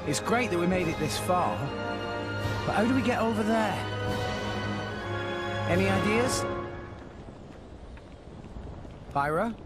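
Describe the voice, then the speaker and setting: An animated, high young boy hero, earnest and upbeat, in video game style. Loud music plays behind him. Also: normal speed. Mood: awed. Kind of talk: video game character acting.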